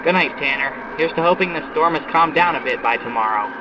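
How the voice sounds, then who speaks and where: A man's voice: a little high, a little fast, noisy background, lightly acted. A man playing a grumbling, joking businessman sidekick in an old-time radio drama. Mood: casual, joking. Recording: muffled old-time radio sound, faint music.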